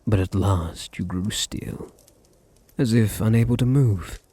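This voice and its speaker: A British man acting a seductive, domineering vampire master in an ASMR roleplay, breathy and often near a whisper, with purring, condescending endearments. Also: on the low side, measured pace, dark tone, dry recording. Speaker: audio roleplay voice actor.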